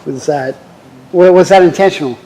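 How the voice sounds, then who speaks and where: A man's voice: fairly high pitch, moderate pace, warm tone, slight background noise, poor audio. A man from the audience asking an informal question, hesitantly piecing his thought together. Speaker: audience member.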